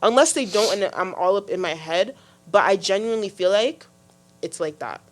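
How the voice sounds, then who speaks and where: A teenage girl with a deep voice, hosting a youth podcast in casual talk, full of fillers and restarts. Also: animated, normal speed. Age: teens. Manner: chatty, many fillers and restarts. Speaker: podcast host. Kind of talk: casual discussion.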